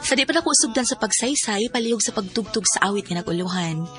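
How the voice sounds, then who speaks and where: A woman acting a loyal, frank best friend in a radio drama, chatty and expressive, concerned and supportive. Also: medium pitch, fast, over loud music, natural voice. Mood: earnest narrating.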